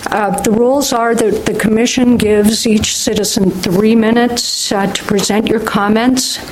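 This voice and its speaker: A woman chairs a public hearing in a friendly, orderly way, with the odd filler. Noise can be heard clearly behind her voice, along with some clicks. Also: on the high side, fairly even intonation, unhurried, darkish tone, rough recording. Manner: friendly, procedural, a few fillers. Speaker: commission chair. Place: public meeting room.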